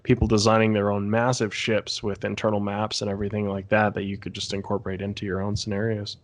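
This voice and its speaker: A man's voice: lowish voice, monotone, fast. A man co-hosting a podcast, talking in a casual, informative way. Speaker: podcast co-host.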